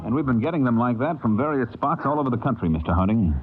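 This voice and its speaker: Male government agent, husky, mid-pitched, matter-of-fact, old radio drama, tinny. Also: animated, fast talker, faint music behind. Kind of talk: 1950s radio drama acting.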